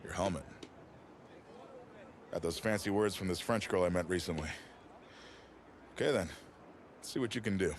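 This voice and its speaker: An actor playing a folksy, encouraging high school football coach in a game cutscene, wry in manner, with ambient noise behind. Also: male voice, moderate pace, long pauses, close to an everyday voice.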